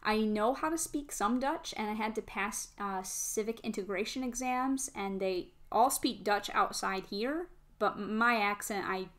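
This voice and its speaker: A woman's voice: medium pitch, measured pace, hardly any pauses, a bit bright. A woman with an American Midwest accent, hosting her channel and chatting at home while she builds, talking easily and thinking aloud. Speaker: channel host. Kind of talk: casual chat while building. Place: home, indoors. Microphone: table microphone.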